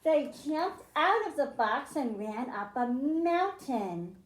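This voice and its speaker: Woman, children's librarian, unhurried sing-song reading, roomy sound. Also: high voice, sing-song. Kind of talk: reading a picture book aloud to children. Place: library room.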